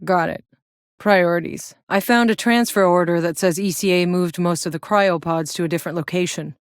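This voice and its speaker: Casting: actress to play battle-hardened sci-fi game heroine, female, lowish voice, blunt, wry, very dry close recording. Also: normal speed, smooth, dry recording, natural voice. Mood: probing, angry. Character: tough, sardonic battle-hardened female soldier in sci-fi wasteland. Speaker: video game voice actor. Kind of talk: scripted video game character voice lines.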